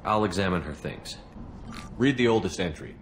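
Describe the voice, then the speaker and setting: Steady military investigator, deep voice, terse and calm, businesslike, sci-fi game, faint noise. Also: male voice, moderate pace. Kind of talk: video game voice acting.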